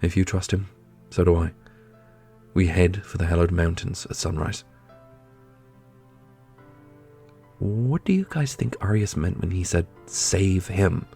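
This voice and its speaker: A man playing a solemn, loyal prince and protective brother in a fantasy audio roleplay, speaking earnestly and deliberately. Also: low-pitched, gravelly, mellow. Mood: steady, questioning. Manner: earnest, deliberate, grandly heroic. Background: orchestral music.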